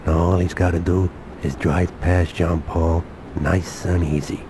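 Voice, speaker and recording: A commanding crime lord for a video game. His voice is extremely deep, measured and full of authority. Noticeable ambience runs behind it. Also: level tone. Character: commanding, menacing male crime boss. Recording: game cutscene with noisy ambience.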